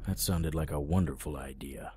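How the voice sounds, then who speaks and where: A man's voice: a bass voice, normal speed, background noise. An actor playing a sardonic vampire-hunting private eye, telling his story in a dramatic noir audio drama style, over music. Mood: grim, weary. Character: hard-boiled, wisecracking male vampire-hunting private eye. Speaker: narrator and lead actor. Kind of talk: first-person noir audio drama narration. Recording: music bed under the voice.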